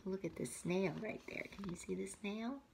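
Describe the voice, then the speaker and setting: A woman teacher reading a picture book aloud to young children, expressively, with breathy asides and questions to her listeners. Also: medium pitch, normal speed, a little mellow, slight background noise.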